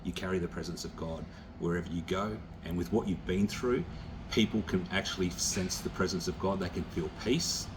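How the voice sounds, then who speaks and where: A man with an Australian accent speaking unscripted as a visiting pastor, in a halting, plain, reassuring way to a church. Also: deep voice, background noise. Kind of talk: unscripted encouragement to a church congregation.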